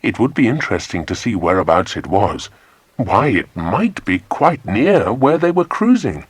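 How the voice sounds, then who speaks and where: A man's voice: on the low side, normal speed, husky, no room sound. A man narrating a children's adventure audiobook expressively, close on a studio microphone. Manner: expressive storytelling. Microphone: close, dry studio microphone.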